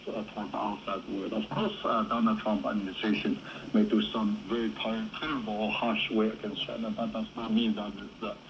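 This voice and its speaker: A man giving political commentary as a panel guest, with halting, non-native English phrasing, heard over shortwave radio. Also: fairly low pitch. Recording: shortwave radio with heavy static. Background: shortwave radio noise.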